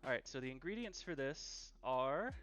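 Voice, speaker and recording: A man's voice: medium pitch, expressive, moderate pace, fairly bright tone, soft background music. Chatty man on a lo-fi kitchen livestream. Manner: chatty and easygoing, repeats phrases for emphasis. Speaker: host of a live cooking stream. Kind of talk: casual live-stream chat while cooking. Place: home kitchen. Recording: dull, lo-fi livestream audio.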